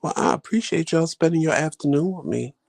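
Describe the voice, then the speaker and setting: A man's voice: on the high side, fairly quick, creaky, darkish tone. A man hosting a vlog live stream, chatting casually with viewers in a rambling, folksy way. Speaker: vlog host answering live chat.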